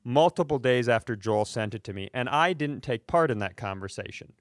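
A man's voice: mid-range voice, expressive, a little fast, no echo, studio quality. An American man hosting a comedy podcast, ranting casually in an indignant, emphatic and profane way. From rural Upper Midwest United States.

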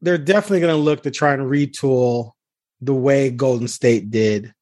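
A man's voice: medium pitch, moderate pace, darkish tone, no room sound, clean studio sound. An American man, a sports reporter hosting a podcast, giving blunt, emphatic opinions in conversation and repeating phrases for weight.

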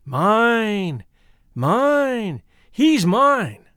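Ghostly spirit chorus, sing-song, gloating, high-pitched, wildly swooping, audiobook drama. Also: male voice, sing-song, drawn-out words, fairly bright tone, smooth, dry recording, clean studio sound, full character voice. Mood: possessive. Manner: chanting, sing-song repetition. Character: eerie chorus of possessive, gloating disembodied spirit voices.

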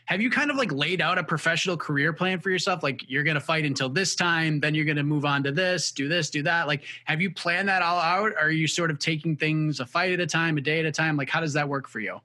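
A man interviewing a fighter, asking long, relaxed questions with fillers. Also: a little high, fast, hardly any pauses.

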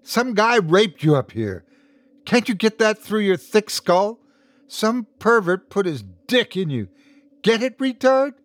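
A man's voice: a little high, sing-song, measured pace, hardly any pauses, husky, dry recording, voice pushed up, full character voice. A man voicing a frightened but defiant young woman in a horror story, strained and emphatic. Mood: furious defiance.

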